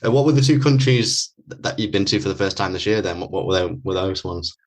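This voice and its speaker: Guy hosting a podcast, low voice, rambly questions with lots of like and you know. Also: fast. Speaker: podcast host. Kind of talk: interview questions.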